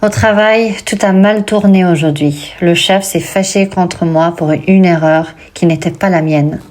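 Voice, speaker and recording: A woman voicing Julie, an upset young woman who tells a friend about a bad day, read slowly for a textbook dialogue. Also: lowish voice, darkish tone, close to an everyday voice. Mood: glum. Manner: slow, careful textbook dialogue reading.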